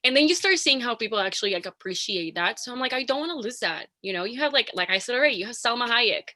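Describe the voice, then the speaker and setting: A woman's voice: on the high side, expressive, fast talker, bright, forceful tone. A young woman with a Latin American Spanish accent, speaking earnestly on a panel over a video call and using "like" a lot. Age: young adult. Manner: earnest, filler-heavy with "like". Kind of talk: panel discussion.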